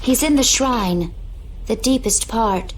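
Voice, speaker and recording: A woman's voice: on the high side, slow, noisy background. A woman voicing a cool, composed young warrior in a video game, with music behind her.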